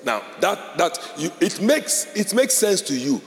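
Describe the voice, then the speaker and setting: A man's voice: sits high, big pitch swings, unhurried, vocal fry. A man, a Yoruba speaker, teaching a Bible class as a pastor. He explains step by step, repeats key phrases and keeps checking in with his listeners. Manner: explains step by step, checks in with "all right?" and "okay?". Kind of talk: classroom-style teaching talk. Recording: long hall reverb.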